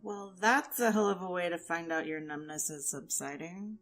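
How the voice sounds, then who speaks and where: Actress as practical young woman, audio drama, dry delivery, slightly low pitch, faint music bed. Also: moderate pace, a bit bright, natural voice. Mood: dry, wry. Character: practical, blunt young woman tending the wounded.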